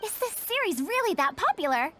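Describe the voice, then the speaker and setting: A woman's voice: very high-pitched, very animated, a little fast, bright, forceful tone, a little hiss or hum, exaggerated voice. A woman voicing a bookish, fussy scholar in a video game, speaking formally with emphatic, old-fashioned phrasing. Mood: indignant, dismayed. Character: bookish, fussy young-sounding woman scholar, indignant about her beloved books.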